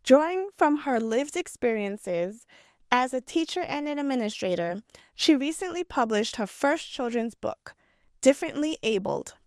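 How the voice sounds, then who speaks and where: A woman's voice: high-pitched, animated, moderate pace, darkish tone, no room sound, studio quality. A woman hosting an interview, introducing her guest and asking questions in a polite, formal way. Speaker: interview host, educational director. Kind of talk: hosted interview.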